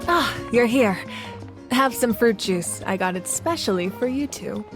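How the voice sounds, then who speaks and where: A woman playing a composed leader who carries hard choices, speaking deliberately in a video game. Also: fairly high pitch, animated. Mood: welcoming. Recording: music playing behind the voice.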